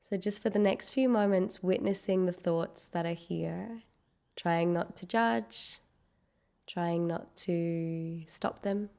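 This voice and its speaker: A woman leading a guided meditation, giving gentle, unhurried instructions with long pauses. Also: fairly low pitch, creaky.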